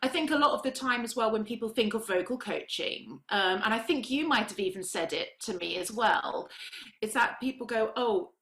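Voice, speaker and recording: A woman's voice: fairly high pitch, lively intonation, moderate pace, a bit bright. A woman, a vocal coach, talking in an encouraging, emphatic way in casual conversation. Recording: roomy echo.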